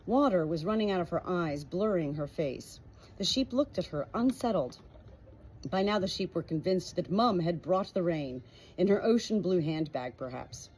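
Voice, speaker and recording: Slightly husky woman reading a story. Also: on the low side, expressive, moderate pace, darkish tone, rough recording. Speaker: audiobook reader. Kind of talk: scripted storybook narration.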